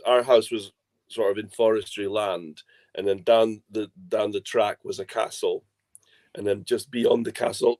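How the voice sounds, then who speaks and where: A man's voice: lowish voice, darkish tone. A man, a guest comic artist, chatting casually in an interview with lots of rambling restarts.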